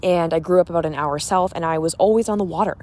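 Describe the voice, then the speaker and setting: She is an American woman with a slightly low-set voice for a woman. She talks like a podcast host and coach in the middle of a pep talk to her listeners. Her delivery is gushing and emphatic, informal, and peppered with casual emphasis and mild swearing. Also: fast, darkish tone. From Boston area, eastern United States.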